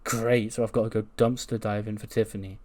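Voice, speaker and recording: A man voicing a brisk female wrestler who asks questions in a blunt, exasperated manner. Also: lowish voice, level tone, a little mellow, close to an everyday voice. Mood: annoyed.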